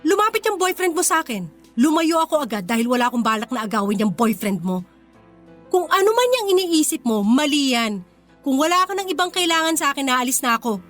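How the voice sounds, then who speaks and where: A woman's voice: sits high, sing-song, fairly quick, a bit bright, faint music behind. A Filipino woman playing a proud, wounded young working-class heroine in a radio drama, speaking with earnest emotion. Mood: indignant, defiant.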